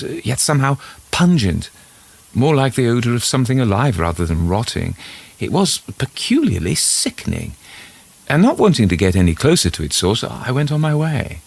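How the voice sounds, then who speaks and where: A man reading a scripted first-person literary story as its narrator, in a measured storytelling manner. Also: mid-range voice, creaky.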